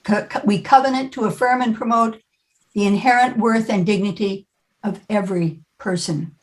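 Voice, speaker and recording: A woman leading a congregation's service with an informal, conversational welcome, halting now and then as she speaks. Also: mid-range voice, steady tone, rough recording.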